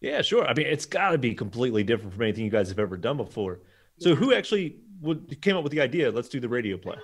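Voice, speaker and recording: A man's voice: medium pitch, big pitch swings, quick pace, slightly rough. A man in his thirties hosting a casual, upbeat interview from a studio desk microphone. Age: 30s. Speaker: show host. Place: small wood-panelled studio, indoors.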